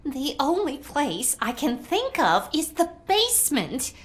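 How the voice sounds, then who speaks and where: A woman's voice: high voice, sing-song, takes it slowly, full character voice. An actress playing an anxious, pleading elderly woman in a video game, with a dramatic way of speaking.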